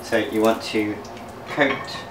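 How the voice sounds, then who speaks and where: A man's voice: fairly low pitch, steady tone, takes it slowly, background noise. A young man chatting as he cooks in his home kitchen, laughing at his own mistakes and talking to viewers. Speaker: cooking vlogger. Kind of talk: casual cooking commentary. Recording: echoey kitchen, faint clatter of pans.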